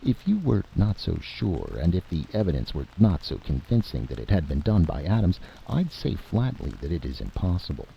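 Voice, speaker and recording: A man acts out an earnest, frustrated but persistent physicist. The voice is low and creaky, speaking formally with measured emphasis. The recording is dull and noisy. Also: very animated, full character voice.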